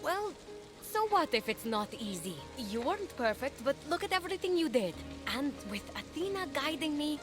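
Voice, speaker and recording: A woman's voice: high voice, very animated, moderate pace. A woman playing an earnest, plucky young hero from Greek myth in a video game, casual and sincere in delivery. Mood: earnest, hopeful. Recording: music behind the voice.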